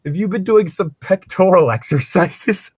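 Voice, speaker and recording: A man's voice: high voice, animated, moderate pace, darkish tone, husky, dry recording. A man reading fan fiction aloud casually and breaking in with sarcastic, crude wisecracks. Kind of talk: casual read-aloud with joking commentary.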